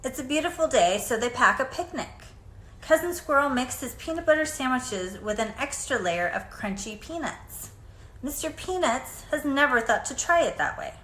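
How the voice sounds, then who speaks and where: A woman reading a children's picture book aloud in an expressive, deliberate storytelling manner. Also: high-pitched. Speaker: storybook reader. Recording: echoey room, faint background noise.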